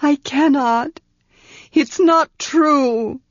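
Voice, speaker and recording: A woman's voice: high voice, slow, no echo, pitched above the performer's own voice. A woman voicing an anguished young woman, proud and guilt-ridden, who talks in a tense, pleading way that breaks with grief. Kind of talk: audiobook character reading. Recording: dull, muffled sound.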